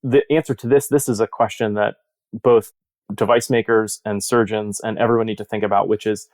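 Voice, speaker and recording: A man speaking as a surgeon and researcher in an interview, explaining in a measured way and posing rhetorical questions. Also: lowish voice, fairly even intonation, dry recording. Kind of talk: interview discussion of surgical research.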